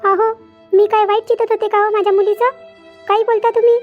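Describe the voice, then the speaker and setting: A woman's voice: very high voice, level tone, fairly quick, phone-quality sound, faint music behind. A woman voicing a defensive, doting middle-aged mother in a cartoon family drama, indignant.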